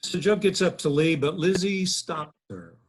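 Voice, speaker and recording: A man's voice: on the high side, unhurried. A man reading and moderating at a table read over a faintly noisy video call. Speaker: script narrator and moderator.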